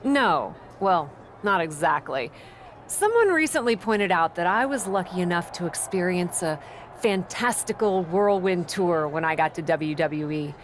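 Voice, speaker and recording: A woman's voice: on the low side, lively intonation, a little slow, slight background noise. A woman playing a plucky, cocky wrestler in a video game cutscene, speaking in a casual, teasing way. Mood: thoughtful, scheming.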